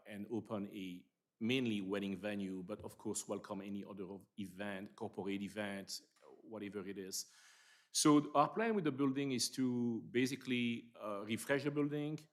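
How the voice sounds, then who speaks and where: A man from Belgium with a Belgian accent, a business owner presenting his plans at a town planning meeting, halting and repeating words. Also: lowish voice. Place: council meeting room.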